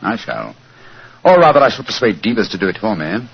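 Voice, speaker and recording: Wry gentleman sleuth, British, courteous, theatrical, animated pitch, dull lo-fi vintage radio sound. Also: brisk, creaky, slightly rough, heavily acted. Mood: amused, admiring. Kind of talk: 1940s radio acting. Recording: dull, lo-fi old radio recording.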